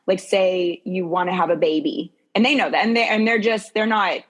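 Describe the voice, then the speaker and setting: A woman's voice: lowish voice, steady tone, moderate pace. Casual woman guest, thinking aloud. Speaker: happiness coach and guest. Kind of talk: casual conversational interview.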